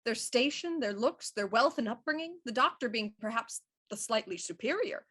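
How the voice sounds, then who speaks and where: A woman playing a shrewd, self-assured Victorian police inspector, explaining deliberately and theatrically in a murder mystery audio drama. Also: a little high, lively intonation, a bit bright, husky. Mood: confident deduction.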